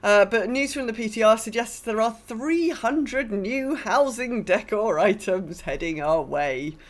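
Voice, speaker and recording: A woman's voice: a little high, expressive, measured pace. A woman chatting casually as a podcast co-host. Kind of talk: casual chat.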